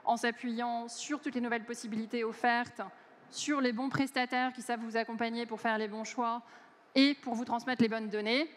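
Woman with filler-heavy keynote delivery. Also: fairly high pitch. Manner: fluent but full of hesitant fillers. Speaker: keynote speaker. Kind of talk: conference keynote talk. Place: large conference stage. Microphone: headset mic. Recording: long hall reverb.

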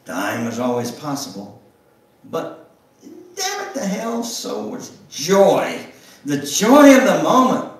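An older man gives a dramatic memoir reading in a church, theatrical and deliberate, drawing out words and pausing for emphasis. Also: sits high, huge pitch swings, distant mic. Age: older, 70s or above. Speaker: guest speaker reading at a church. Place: church sanctuary, indoors at the front. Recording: roomy, echoing church sound from afar.

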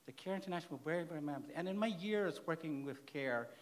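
A man with a Thai accent, the director of a charity, giving an earnest plenary speech at a conference, halting now and then to restart his words. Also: on the high side, animated. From Thailand. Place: large conference hall.

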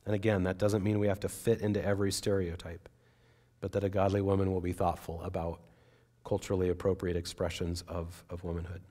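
A man in his forties, a pastor, preaching an earnest, measured sermon from a pulpit on a church stage. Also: deep voice, level tone, warm tone.

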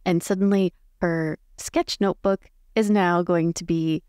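A woman's voice: lowish voice, fairly even intonation, a little slow, darkish tone, clear, resonant tone, no echo, studio quality. A woman playing a tabletop roleplay game, casually narrating her character's choices and thinking aloud.

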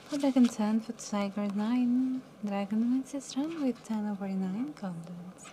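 A young woman working as a live casino card dealer, calling each round in a soft, mumbly, calm and polite way from a studio table. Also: on the high side, a little slow, a little hiss or hum. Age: young adult. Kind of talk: announcing card game rounds.

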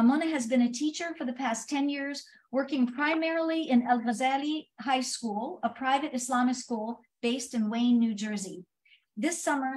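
A woman's voice: a little high, darkish tone, low-quality recording. A woman moderating a panel, introducing a speaker and asking a question carefully, with hesitant fillers.

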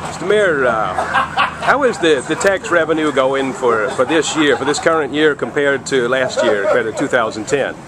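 A man's voice: mid-range voice, animated, moderate pace, a loud crowd, low-quality recording. A man interviewing a mayor, restarting and rephrasing his questions. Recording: loud outdoor traffic noise.

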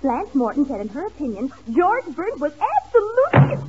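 A woman's voice: high-pitched, big pitch swings, brisk, a loud crowd. A woman playing a gushing, catty American society clubwoman in a 1940s radio comedy. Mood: gossipy. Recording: muffled, very noisy 1940s radio recording.

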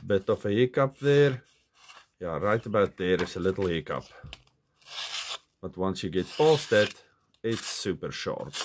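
A man's voice: on the low side, a little hiss or hum. A man from South Africa with a stuffy, nasal voice from a cold, chatting casually through a hands-on knife review at his desk, full of "okay" and "um". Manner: chatty, self-correcting, lots of "okay" and "um". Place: indoors at a desk. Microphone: camera on the desk, hands-only framing.

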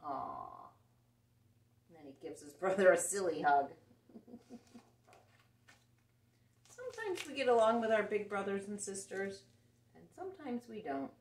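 Expressive middle-aged woman reading slowly to children. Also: medium pitch. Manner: expressive, simple phrasing with exclamations and asides to children. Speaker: children's storytime host. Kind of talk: picture-book read-aloud to young children. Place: indoor room, seated before a dark backdrop. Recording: roomy, distant camera microphone.

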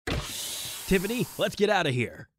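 A man's voice: sits high, big pitch swings, unhurried, a bit bright, a little hiss or hum, full character voice. A man playing an earnest private detective who narrates his own case in a noir-parody audio drama. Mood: determined.

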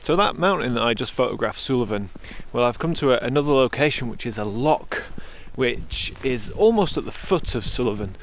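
A man's voice: medium pitch, expressive, fairly quick, few pauses. A man, a landscape photographer casually narrating his vlog outdoors, thinking aloud. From England. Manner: reflective, thinking aloud with fillers. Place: outdoors in winter Scottish highlands.

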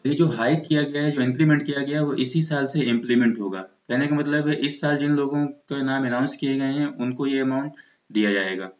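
A man's voice: medium pitch, fairly quick. An Indian man teaching exam preparation in an explanatory lecture style, mixing in English terms. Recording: muffled, echoey room recording.

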